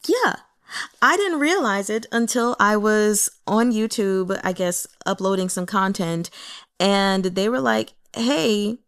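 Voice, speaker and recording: A woman's voice: mid-range voice, animated, slow pace, fairly bright tone, clean tone. A woman chatting casually and candidly about a novel as a book reviewer, in a conversational, mildly exasperated way. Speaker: book reader and reviewer with an audience. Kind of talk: casual chat commentary on a novel.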